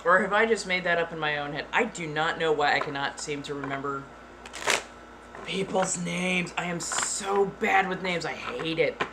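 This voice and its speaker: A woman streaming live who talks to her chat in a plain, blunt way. She keeps her delivery deliberately monotone and swears now and then without fuss. Steady background noise sits under the voice. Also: low-pitched. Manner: frank, swears casually.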